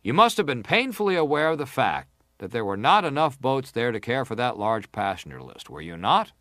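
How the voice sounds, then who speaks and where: A man's mid-pitched voice with very lively intonation, recorded dry. a probing, insistent senator at a dramatized hearing. He presses each question in formal, interrogating phrases. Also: a little fast, no echo. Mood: pressing. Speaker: actor reading testimony. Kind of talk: dramatized reading of hearing testimony.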